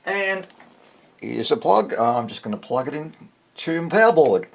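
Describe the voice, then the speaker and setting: A husky Australian man with plenty of vocal fry and swings in pitch. He talks casually, easygoing and rambling, thinking aloud as he explains a repair. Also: very animated, moderate pace. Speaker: host of a vintage radio repair channel. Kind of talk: casual chat to camera about a repair.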